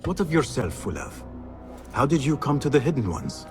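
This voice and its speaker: A man's voice: medium pitch, very animated, moderate pace. An actor playing a determined, inquisitive young male assassin. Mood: resolute. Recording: game audio with music.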